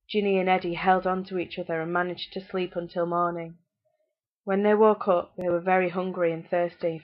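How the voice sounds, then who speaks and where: A woman's voice: fairly low pitch, dull audio. A British woman storyteller narrating a fairytale.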